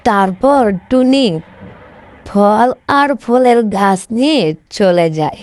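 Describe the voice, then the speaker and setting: Slow-paced woman storyteller, slightly high. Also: animated, darkish tone. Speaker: storyteller, narrator. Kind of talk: scripted children's cartoon narration.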